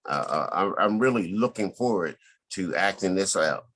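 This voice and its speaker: Guy on the lower side, artist being interviewed, earnest, kinda halting and repeats words. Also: lively intonation, fairly bright tone, husky. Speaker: visual artist and actor, interview guest. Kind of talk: casual interview talk.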